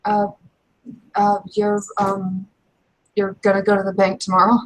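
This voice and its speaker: A woman acts out a young female werewolf in a tabletop roleplay, in a mid-pitched voice. She is awkward and evasive. Her speech is slangy, halting and full of stammers. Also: completely flat delivery, lightly acted, poor audio.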